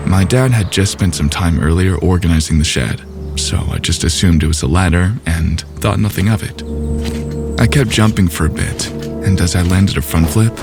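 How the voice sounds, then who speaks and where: Deep-voiced man narrating a horror story. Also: music in the background. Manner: measured storytelling. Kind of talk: scripted first-person narration.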